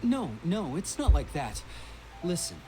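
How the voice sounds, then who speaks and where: Earnest, exasperated young man, very expressive. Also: a little high, measured pace, slight background noise, low-quality recording. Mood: earnest, pleading. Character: polite, earnest, long-suffering young male detective's colleague. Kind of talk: video game voice acting.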